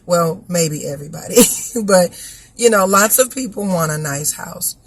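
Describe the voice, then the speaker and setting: A woman, hoarse and scratchy after an illness, giving a casual motivational talk that explains ideas step by step and keeps checking in with listeners. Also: fairly low pitch, lively intonation, unhurried, no room sound. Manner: teaching, repeats points and checks in with the listener. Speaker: vlogger giving inspirational talks.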